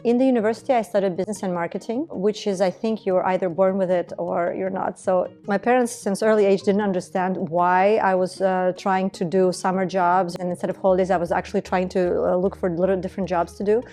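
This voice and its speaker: A woman's voice: medium pitch, steady tone, fairly quick, few pauses, dark tone, faint music behind. A woman, speaking earnestly and reflectively as a business founder in a documentary interview. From Estonia. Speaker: entrepreneur, beauty business founder.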